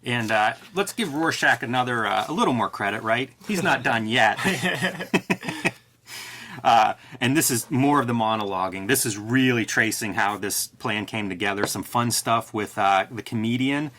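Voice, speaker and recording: A man's voice: mid-range voice, a little slow, sharp tone. A man co-hosting a review show, thinking aloud in casual, conversational analysis. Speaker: co-host of a comics review show.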